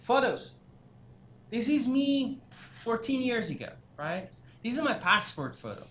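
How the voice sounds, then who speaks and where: A man raised in Iran giving a career workshop lecture, halting with many fillers and restarts, breaking into animated asides. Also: very high-pitched. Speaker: career development instructor. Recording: echoey room, faint noise.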